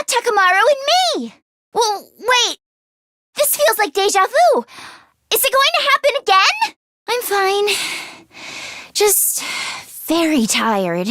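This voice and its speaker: A woman voicing an excitable, anxious but determined teenage girl mechanic in a video game, with a youthful voice and expressive, emphatic delivery. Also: very high-pitched, dry recording, studio quality, heavily acted. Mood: gleeful, then weary. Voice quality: youthful, girlish. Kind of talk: animated video game character acting.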